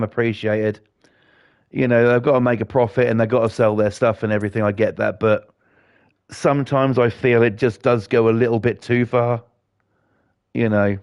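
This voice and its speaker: Gravelly, sweary British man ranting. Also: mid-range voice, darkish tone, muffled recording. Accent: British English. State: slightly croaky. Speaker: gaming livestreamer. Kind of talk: casual chat while playing a game.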